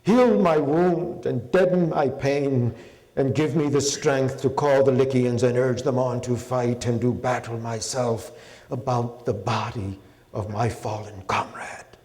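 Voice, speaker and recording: A grieving, wounded warrior played by a man reciting epic verse pleads with declamatory passion. His voice is pushed up high and rings through a hall with long reverb. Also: steady tone, a little slow, darkish tone, close to an everyday voice. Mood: anguished. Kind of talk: dramatic epic poetry reading.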